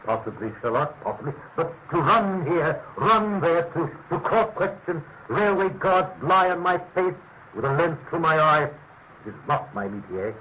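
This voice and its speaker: An actor playing a pompous, fussy middle-aged government official with a British accent, halting and emphatic, in classic radio mystery style. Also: male voice, fairly high pitch, very animated. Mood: fussy, persuasive. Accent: British English. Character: pompous, fussy male senior government official, gravely insistent. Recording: tinny, narrow-band radio recording with hiss.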